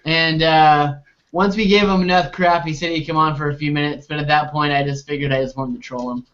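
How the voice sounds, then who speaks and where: A man's voice: fairly high pitch, fairly even intonation, fairly quick, a bit bright. A man in his twenties hosting a casual group recap chat over a video call. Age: 20s. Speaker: podcast host. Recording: muffled video call audio.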